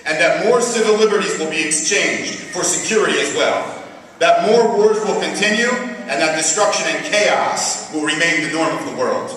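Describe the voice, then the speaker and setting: A man delivers an earnest, formal political speech in a highish, forceful voice. He leans on rhetorical phrasing, and the sound is distant and very reverberant, as in a big hall. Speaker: activist speaker at a community event. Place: large hall at an Islamic center event. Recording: very echoey hall, distant mic, faint noise.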